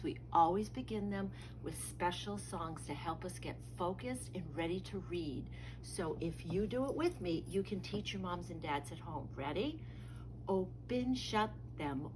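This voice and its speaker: Unhurried woman teacher, noisy recording. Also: mid-range voice. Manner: instructive, simple repetitive phrasing for young children; sing-song, encouraging. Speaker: preschool teacher. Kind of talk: children's story time with singing.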